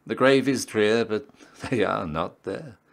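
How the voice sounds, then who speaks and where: A man reciting poetry in a measured, solemn and theatrical way, often letting his line endings drop to a hush. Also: fairly low pitch, creaky. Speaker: poetry reader, town crier.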